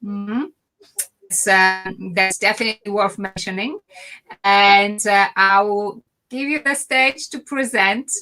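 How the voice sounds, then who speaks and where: A woman with a bright voice and a middle pitch introduces a guest over a video call. She is polite and hesitant, pausing often with fillers. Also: steady tone, poor audio. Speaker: host. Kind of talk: online interview introduction. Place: London.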